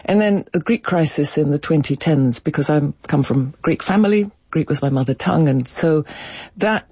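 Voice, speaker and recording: A woman's voice: low voice, moderate pace, dark tone, breathy edge, no echo. A woman in her sixties talking casually as a guest in a radio studio interview. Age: 60s. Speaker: studio guest, writer and broadcaster.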